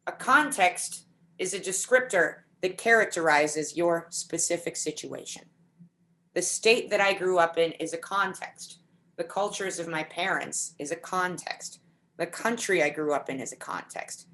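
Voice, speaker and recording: A woman giving a conference talk in a deliberate, explanatory way, slowing down to stretch key words. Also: on the low side, a bit bright. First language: English. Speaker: conference speaker. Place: home setup for a virtual conference.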